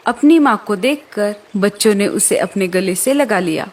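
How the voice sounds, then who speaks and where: A woman's voice: mid-range voice, animated, normal speed, a little mellow. A woman reading scripted narration for a children's animal story as the storyteller.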